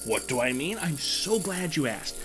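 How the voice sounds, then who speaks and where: A man's voice: fairly high pitch, big pitch swings, normal speed, over music. A man playing an opinionated, talkative bird of great age, ranting theatrically and answering his own questions in an audio drama. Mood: indignant ranting. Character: opinionated, talkative old male bird over 150.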